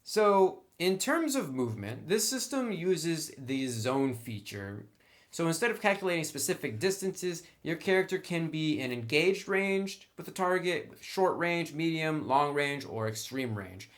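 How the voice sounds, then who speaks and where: A man's voice: on the high side, big pitch swings, measured pace, soft background music. Casual man reviewing a tabletop game book. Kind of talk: casual explanatory review commentary.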